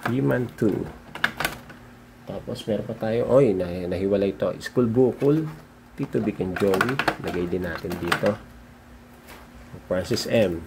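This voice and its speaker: A Filipino man doing a casual, unscripted show-and-tell as a vlogger, halting and muttering to himself as he handles things. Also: lowish voice, vocal fry. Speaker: vlogger showing his cassette collection. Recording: noisy recording with handling clicks.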